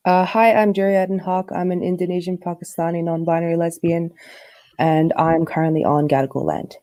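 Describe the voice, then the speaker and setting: A woman's voice: lowish voice, steady tone, a little fast, warm tone, dry recording. A woman co-hosting a podcast, chatting casually and steering the discussion. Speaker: podcast co-host.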